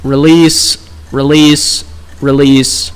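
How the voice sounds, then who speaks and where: A man's voice: mid-range voice, little pitch movement, drawn-out words, smooth. A man streaming a video game, chatting casually and scolding the game as he plays. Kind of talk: casual gameplay commentary.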